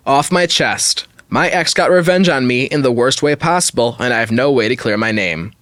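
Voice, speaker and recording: A man's voice: medium pitch, moderate pace, a bit bright, no echo. Dry-sounding man hosting a podcast. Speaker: podcast host. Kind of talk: reading an online story aloud with casual asides.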